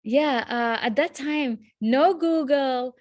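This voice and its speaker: A woman, answering questions as an interview guest in a reflective, measured way over a video call. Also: high-pitched, a little mellow, dry recording. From Morocco. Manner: reflective, measured, with frequent restarts.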